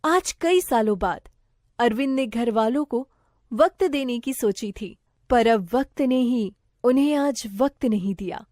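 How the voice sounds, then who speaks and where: A woman's voice: fairly high pitch, animated, measured pace, darkish tone, no echo, studio quality. A woman reading a scripted moral story as its narrator.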